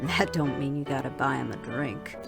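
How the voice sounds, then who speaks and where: Low-voiced, tough saloon keeper. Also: female voice, moderate pace, gravelly, music in the background, heavily acted. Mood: indignant. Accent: American. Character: tough, blunt, fiercely loyal female Wild West saloon keeper. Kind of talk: Western audio drama acting.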